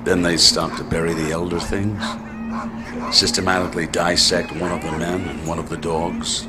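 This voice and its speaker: A man's voice: low-pitched, little pitch movement, moderate pace, music in the background, lightly acted. An actor playing an analytical but uneasy male expedition scientist in a radio drama, who reasons aloud with growing agitation. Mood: tense. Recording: audible background noise.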